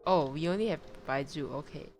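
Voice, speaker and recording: A woman's voice: sits low, fairly quick. A woman streamer giving casual live gaming commentary in short, fragmentary murmured reactions. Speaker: game streamer. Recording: game music behind. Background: video game music.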